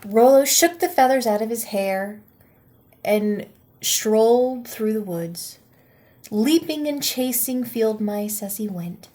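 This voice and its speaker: Teacher lady reading a kids book out loud, kinda high voice, really slow and expressive. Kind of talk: children's picture book read-aloud.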